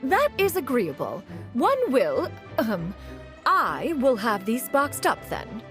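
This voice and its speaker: A woman's voice: on the high side, wildly swooping pitch, slow, full character voice. A woman voicing an ancient, proud female adeptus who speaks in formal archaic terms and calls herself "one". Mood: deliberating. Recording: game audio with music.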